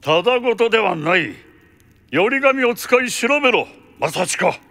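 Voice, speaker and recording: An actor playing an imperious count in a radio drama, commanding and lordly in an old-fashioned way. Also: male voice, sits high, expressive, unhurried, vocal fry, bright, forceful tone, no echo, exaggerated voice. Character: imperious male count, senior government overseer. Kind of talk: Japanese radio drama acting.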